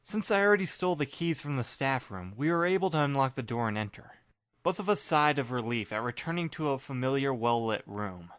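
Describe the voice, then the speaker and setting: A young man narrating in the first person, his mid-pitched voice dry and wry, with understated asides. The sound is close and dead, with no room behind it. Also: animated, fairly quick, a bit bright, no echo. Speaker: narrator and lead reader.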